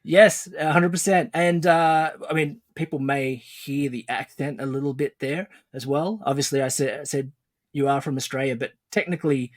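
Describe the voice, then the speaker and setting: A man's voice: fairly high pitch, steady tone, normal speed, no echo, studio quality. A middle-aged man hosting a podcast interview, upbeat and enthusiastic, laughing often. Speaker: podcast host.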